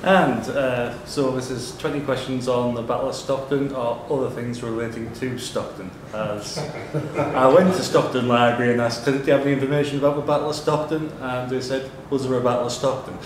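A man's voice: mid-range voice, steady tone, a little fast, slight background noise. A man acting as question master, reading out quiz questions and ruling on answers in a pub room. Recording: roomy pub recording, chatter around. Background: people talking in the pub.

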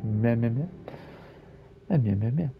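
A man's voice: mid-range voice, animated, unhurried, narrow, tinny audio, faint music behind. A man giving casual let's-play commentary, laid-back and muttering asides to himself. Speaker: gaming video creator.

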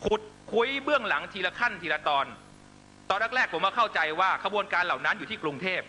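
A man's voice: sits high, expressive, quick pace, sharp tone, a little hiss or hum, rough recording. A man delivering a fiery political speech to supporters, accusatory and emphatic, constantly pressing listeners with rhetorical questions. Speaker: political rally speaker.

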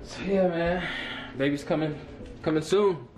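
A young man chatting casually to a handheld camera for his vlog, in a relaxed way. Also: fairly high pitch, measured pace, music in the background. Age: young adult. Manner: laid-back, talks to camera, commands dogs firmly. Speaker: vlogger. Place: car park outdoors, then house indoors. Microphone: handheld camera at arm's length.

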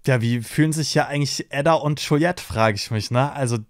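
A man's voice: mid-range voice, brisk, no room sound, studio quality. Chatty young man reacting on stream. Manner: chatty, addresses viewers as friends. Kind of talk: casual reaction commentary. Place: home streaming room. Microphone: desk microphone on arm.

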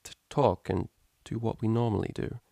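A man's voice: fairly low pitch, fairly even intonation, normal speed, creaky, mellow, dry recording. A prim young pony cellist from a storybook, played by a man, formal and a little awkward. She speaks politely and hesitantly in a dry recording.

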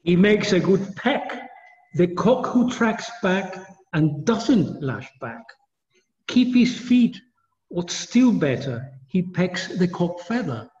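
Champion plains poet acted by an older man, defiant, high and animated rhythmic verse, dull reverberant sound. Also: takes it slowly, full character voice. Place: large bright gallery-like studio. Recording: muffled, reverberant studio, video call.

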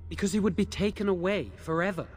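A man's voice: sits high, fast talker, lightly acted. An actor playing an anxious, guilt-ridden young male mage in a fantasy video game, speaking in an earnest, defensive, pleading way. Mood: earnest, explaining.

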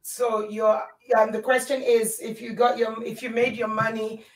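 A woman in her fifties, a pastor, answering earnestly and softly from home over a video call. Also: fairly high pitch, little pitch movement, moderate pace. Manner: earnest, soft, backchannels often. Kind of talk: answering questions in an interview. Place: home room, indoors. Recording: echoey room, far from mic.

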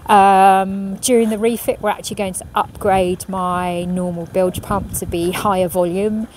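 A middle-aged woman, a professional sailor, answering viewer questions in a quiet, thoughtful way with many ums, filmed outdoors on a marina pontoon. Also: medium pitch, level tone, measured pace. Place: outdoors on a marina pontoon beside a racing yacht. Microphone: camera at medium distance, phone in hand. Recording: outdoor recording with clear background noise. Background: marina ambience and passing vehicles.

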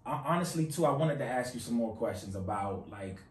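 A man's voice: mid-range voice, animated, a little fast, recorded from a distance. A man hosting a casual interview, laid-back, with street slang and lots of "yeah"s. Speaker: interview host. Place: small office meeting room, indoors. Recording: roomy sound from off-mic.

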